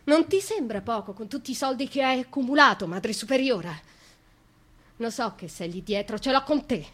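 An actress playing a brooding young noblewoman imprisoned in a dark cell, speaking in a lyrical, slow, reflective way in a radio drama monologue. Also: a little high, animated, slightly rough. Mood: bitter, accusing.

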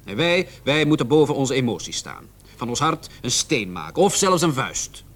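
A man's voice: mid-range voice, sing-song, moderate pace, a bit bright. A man acting in a radio play as a zealous, cynical young policeman, insistent and sarcastic. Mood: fervent. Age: young adult.

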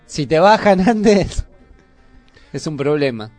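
A man's voice: on the high side, animated, takes it slowly, a little mellow, dry recording, soft background music. A man with a Buenos Aires Argentine accent, presenting on radio in a casual, chatty style. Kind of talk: casual chat.

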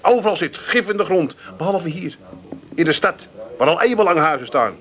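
A city-bound Amsterdammer from a comic radio play. His voice sits a little high for a man. He is dry and sceptical, with a grumbling streak. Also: animated, normal speed, fairly bright tone. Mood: grumbling refusal.

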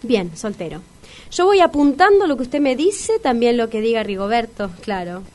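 An actress with a Rioplatense Argentine accent playing a curt, businesslike matchmaking agency executive in a comic radio play, formal and salesy. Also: female voice, fairly high pitch, big pitch swings, moderate pace, a little mellow. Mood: curt, orderly.

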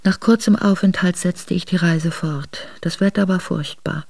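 A woman's voice: lowish voice, steady tone, moderate pace, vocal fry, darkish tone. An actress playing a noblewoman who soberly recalls a hard winter journey in a radio drama. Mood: sober, reflective. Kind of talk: radio drama memoir narration.

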